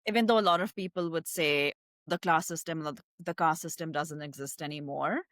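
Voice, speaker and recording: A woman's voice: sits low, a little fast, a bit bright, no echo, clean studio sound. A woman with an Indian English accent, a guest speaking directly and candidly, often saying "like". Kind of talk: conversational interview.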